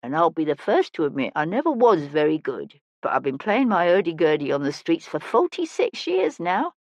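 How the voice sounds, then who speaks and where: Woman playing a gruff, kindly old street musician woman. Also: fairly low pitch, sing-song, normal speed, no room sound, highs cut off. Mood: gentle, consoling. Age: 60s. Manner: folksy, plain-spoken, feisty.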